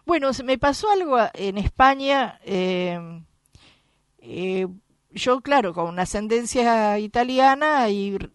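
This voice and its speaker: A woman's voice: on the high side, animated, a little slow, clean tone, no room sound, clean studio sound. A woman from Argentina with a Rioplatense accent, hosting a radio chat and telling anecdotes in a chatty way. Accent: Argentine Rioplatense Spanish. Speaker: radio host.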